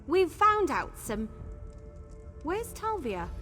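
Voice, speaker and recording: A woman's voice: very high voice, big pitch swings, takes it slowly, full character voice. A woman playing a spirited, chatty adventurer ally in a fantasy video game, speaking expressively. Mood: relieved, concerned. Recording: long reverb, music underneath.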